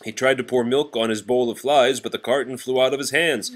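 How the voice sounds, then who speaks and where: A man reading a children's storybook aloud in an animated, expressive style. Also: medium pitch, fairly quick, a crowd in the background. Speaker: story reader.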